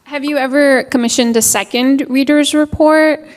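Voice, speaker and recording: A woman's voice: high voice, fairly even intonation, moderate pace. A woman moderating a live panel discussion, chatty and encouraging, laughing easily as she puts questions to the panel.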